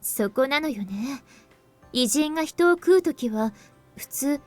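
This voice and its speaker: An actress playing a composed young woman in a Japanese visual novel, explaining things in a deliberate, grave manner. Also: high-pitched, lively intonation, faint music behind. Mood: grave, explanatory.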